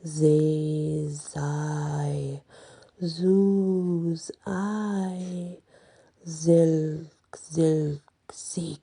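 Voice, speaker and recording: A wicked storybook witch, acted out by a woman intones a magic charm one drawn-out syllable at a time. The voice is dark, husky and creaky, with a halting, ritual slowness. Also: low voice, fairly even intonation, exaggerated voice. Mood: slow incanting.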